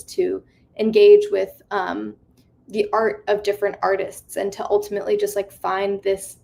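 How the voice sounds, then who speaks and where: Twenties woman speaker, vocal fry, earnest and reflective talk over a video call. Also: medium pitch, darkish tone, slight background noise. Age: 20s. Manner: earnest, reflective, many fillers. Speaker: conference speaker. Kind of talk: motivational talk with slides. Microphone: video call mic.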